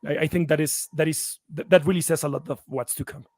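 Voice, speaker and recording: A man being interviewed as a voice actor and writer, friendly and halting, often restarting his sentences. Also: a little high, fairly even intonation, a little mellow, no echo, clean studio sound. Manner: friendly, halting, many restarts, laughs easily. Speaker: voice actor and comic writer guest. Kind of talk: casual interview chat.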